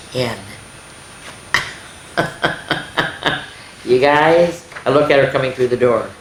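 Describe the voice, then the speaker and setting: A woman hosting a children's story time, reading a picture book aloud expressively, with exclamations and asides to the young listeners. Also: fairly low pitch. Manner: expressive, exclaiming, pausing to comment and ask the listeners. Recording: echoey room.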